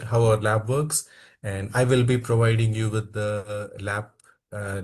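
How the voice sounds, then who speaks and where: A man from India leading an online training class, who keeps saying "okay" between phrases and coaxes quiet participants to speak. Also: on the low side. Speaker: instructor.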